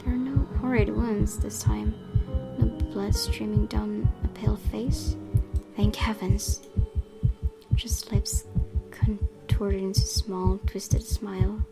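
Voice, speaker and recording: A woman gaming host reading horror visual novel narration aloud with dramatic emphasis, often dropping into hushed, breathy tones. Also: mid-range voice, little pitch movement, takes it slowly. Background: game music.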